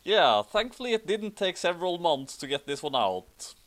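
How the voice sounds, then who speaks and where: A man's voice: high voice, big pitch swings, normal speed, fairly bright tone. A man co-hosting a casual commentary, reading a story aloud theatrically and adding dry, sarcastic asides.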